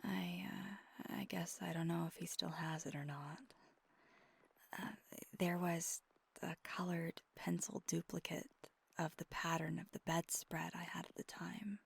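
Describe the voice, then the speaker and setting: Woman acting slow, whispery, wistful vampire girlfriend. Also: fairly low pitch, fairly even intonation, exaggerated voice. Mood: wistful, confiding. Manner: soft, breathy half-whisper, halting and confessional. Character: wistful, tender female vampire girlfriend, ageless, confiding softly. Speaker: ASMR roleplay performer. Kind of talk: intimate ASMR roleplay monologue. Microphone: close microphone.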